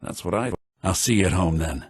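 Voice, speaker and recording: An actor playing a sly, cynical townsman in a fantasy video game, with a husky, gravelly voice and a wry, conniving, expressive delivery. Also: deep voice, brisk, dry recording, clean studio sound. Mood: fond, smooth. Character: sly, cynical adult male townsman and schemer. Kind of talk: scripted game dialogue.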